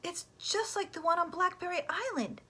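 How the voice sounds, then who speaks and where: A woman's voice: very high-pitched, moderate pace, pushed and bright, voice pushed up. A woman voicing a curious, keen young girl in a children's storybook, with an eager, wondering manner.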